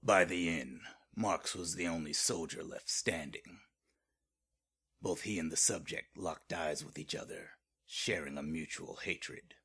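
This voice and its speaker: Deep, husky horror narrator played by a man. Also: unhurried, sharp tone, heavily acted. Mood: ominous. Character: grave male narrator of a horror tale. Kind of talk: dramatic scripted narration.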